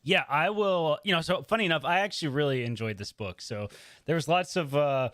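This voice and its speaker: A man's voice: fairly high pitch, lively intonation, brisk, a bit bright, no echo, studio quality. A man on a podcast panel giving a casual, informal review peppered with "like".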